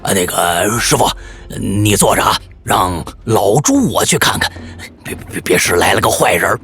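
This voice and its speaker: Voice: low voice, animated, normal speed, a bit bright, pitched below the performer's own voice. A storyteller voicing a greedy, eager male pig monk with a bumbling, stammering, hearty manner, in an audio picture-book drama.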